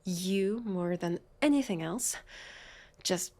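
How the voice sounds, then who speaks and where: A woman with a French accent playing a sardonic, weary, centuries-old vampire police officer in an intimate audio roleplay, breathy and sighing. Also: fairly low pitch, slow, a little mellow. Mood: exasperated. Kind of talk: intimate scripted audio roleplay addressed to listener.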